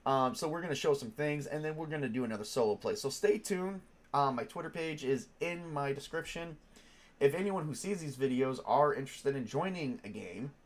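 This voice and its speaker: A man with a cold, stuffed up, chatting casually as a tabletop game master on a stream, rambling with many fillers and restarts. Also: medium pitch. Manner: rambling, lots of ums and restarts. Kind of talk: casual live-stream chat.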